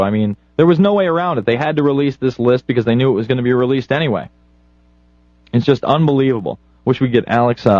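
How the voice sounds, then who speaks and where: A man's voice: mid-range voice, darkish tone, no room sound, lo-fi sound. A man hosting political talk radio, commenting in an indignant, sarcastic way. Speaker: radio show host.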